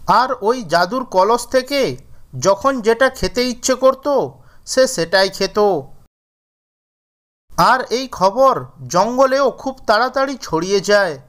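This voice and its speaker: High-pitched male storyteller. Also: lively intonation, slow pace, pauses often, fairly bright tone. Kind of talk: scripted fairy-tale narration for cartoons.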